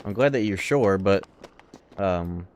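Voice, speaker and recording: A man's voice: low voice, takes it slowly, background noise. A man livestreaming a video game, chatting casually with viewers in a rambling, sweary, informal way. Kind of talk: casual gameplay commentary and chat with viewers. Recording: loud game music behind voice. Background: loud video game music.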